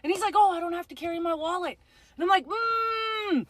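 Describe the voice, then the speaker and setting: A woman's voice: extremely high pitch, brisk. A young woman ranting in a casual vlog, chatty and filled with "like" and asides. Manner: chatty, animated, lots of "like" and laughs.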